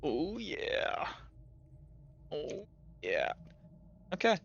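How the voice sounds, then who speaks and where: A man acting as game master, narrating a casual tabletop roleplay session with excitable, sweary banter. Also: on the high side, extremely slow, creaky, lo-fi sound, a little hiss or hum.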